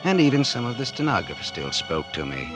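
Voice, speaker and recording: A man's voice: mid-range voice, sing-song, brisk, close to an everyday voice. A man playing a bitter, scheming publisher in a 1940s radio drama, sardonic and slangy, with an American accent and a hard-boiled delivery. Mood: bitter, brooding. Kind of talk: 1940s radio acting, first-person noir narration. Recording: old 1940s radio recording with music.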